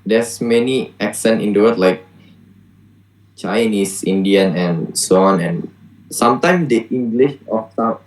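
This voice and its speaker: A man's voice: on the low side, a little slow, low-quality recording. A young man from Indonesia with an Indonesian accent, answering interview questions hesitantly, English not his first language. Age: young adult. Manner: hesitant, many "like" and "you know" fillers.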